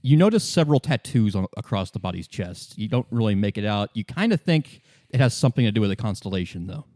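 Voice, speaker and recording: A man with a fairly low voice narrates a tabletop game as game master. He talks casually and haltingly, stepping in and out of character. The recording is close and dry. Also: expressive, dark tone, dry recording.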